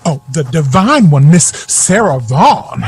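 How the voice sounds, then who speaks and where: A man's voice: on the high side, big pitch swings, a little slow, husky, exaggerated voice. A man acting in an audio drama as a young, sassy but self-doubting aspiring jazz singer. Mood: sassy, assured.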